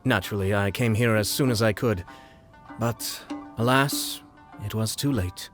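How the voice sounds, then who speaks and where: An actor playing a refined, gently wistful gentleman in a video game, speaking politely in measured, formal phrases. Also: lowish voice, music in the background, natural voice. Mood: regretful.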